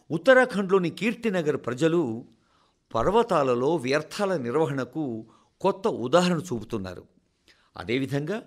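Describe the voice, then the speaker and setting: A man with a Telugu accent reading a scripted address for radio in a formal, deliberate way with rhetorical pauses. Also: fairly high pitch. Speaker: radio reader of a translated national address.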